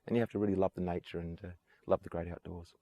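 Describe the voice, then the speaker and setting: A man's voice: low voice, steady tone, rapid-fire, mellow, no echo. An Australian man from Adelaide, working as a tour guide, answers interview questions outdoors in a casual, enthusiastic and earnest way, and keeps repeating his praise. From Adelaide, South Australia. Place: outdoors on an island.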